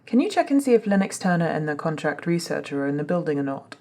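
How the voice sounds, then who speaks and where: A woman playing a composed, authoritative female doctor in a soft-spoken ASMR drama, speaking in a measured, formal, husky way. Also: deep voice, big pitch swings. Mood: brisk, polite. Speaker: voice actor in scripted ASMR roleplay.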